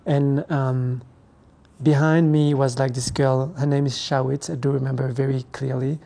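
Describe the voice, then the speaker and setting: A young man, his tone soft and mellow. He talks reflectively and haltingly, pausing to search for words as an interview guest. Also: mid-range voice, level tone, vocal fry. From Ethiopia, raised in France. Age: 20s. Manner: reflective, hesitant, halting phrasing. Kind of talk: conversational interview.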